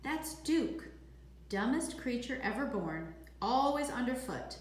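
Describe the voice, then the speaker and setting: A woman reading a storybook, voicing a gruff, brusque old grandfather with a blunt, clipped manner. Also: very high voice, sing-song, takes it slowly, pitched above the performer's own voice, full character voice. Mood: dismissive. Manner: clipped, blunt, matter-of-fact. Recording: echoey room with faint noise.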